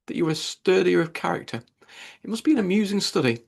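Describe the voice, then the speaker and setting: A man voicing an eager, good-natured, impulsive young gentleman in a classic novel audiobook, cheerful and exclamatory. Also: high-pitched, very animated, fast talker, vocal fry, slightly rough, pitched above the performer's own voice, full character voice.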